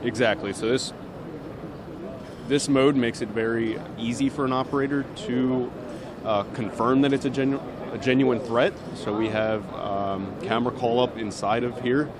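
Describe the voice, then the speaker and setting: A man's voice: mid-range voice, fairly even intonation, moderate pace. A man giving an unscripted, step-by-step demonstration of a product. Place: indoor trade show booth. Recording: busy trade show crowd behind.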